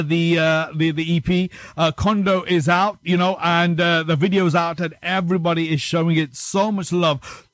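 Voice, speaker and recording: Upbeat high-voiced male interview host. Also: dry recording. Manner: upbeat, repeats words, calls guest "brother" and "man". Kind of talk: enthusiastic interview hosting.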